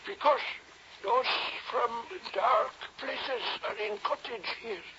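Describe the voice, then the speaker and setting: Slow, high-voiced, submissive servant. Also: male voice, bright, forceful tone, heavily acted. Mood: ominous. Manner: simple broken English, submissive. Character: superstitious, deferential native male servant. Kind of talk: old-time radio drama acting. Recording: noisy, dull vintage radio recording.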